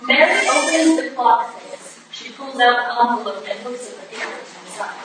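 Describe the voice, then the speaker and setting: A woman with a slightly high voice reads out stage directions as a narrator. She is far from the microphone in a very echoey, noisy hall. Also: expressive, normal speed. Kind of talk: scripted narration at a staged reading. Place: live staged reading in a roomy indoor venue.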